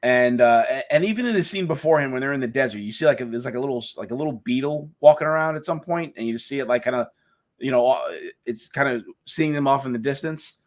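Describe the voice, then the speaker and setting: A middle-aged man co-hosting a casual live chat, talking in a halting way with many restarts. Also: medium pitch. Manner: halting, many restarts and fillers, laughs easily. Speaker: co-host.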